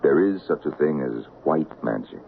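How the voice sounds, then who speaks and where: A deep, coaxing doctor. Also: male voice, lively intonation, moderate pace, gravelly, slight background noise, exaggerated voice. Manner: gentle, coaxing, lilting storyteller delivery. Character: soothing, patronizing middle-aged male doctor. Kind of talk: 1970s radio drama acting. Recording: tinny old radio broadcast.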